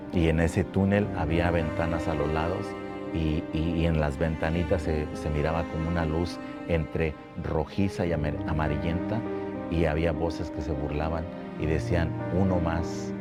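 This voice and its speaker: A man from Mexico telling his life testimony at a church, speaking slowly and haltingly, often repeating words. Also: rumbling low. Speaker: guest giving testimony. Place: church building.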